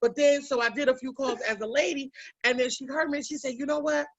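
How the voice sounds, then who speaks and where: A woman's voice: high voice, quick pace. A woman, telling brash, profane comic stories on a comedy panel. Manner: brash, profane, animated storyteller. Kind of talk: casual comedic storytelling. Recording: lo-fi recording.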